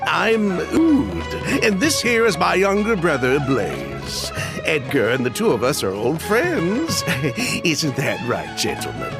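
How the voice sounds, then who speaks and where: Slow, gravelly, chuckling trader, sly charm. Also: male voice, fairly high pitch, wildly swooping pitch, exaggerated voice. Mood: jovial. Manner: chuckling, drawn-out, theatrical. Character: jovial, sly middle-aged male perfume merchant. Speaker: merchant. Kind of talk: game voice acting. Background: game background music.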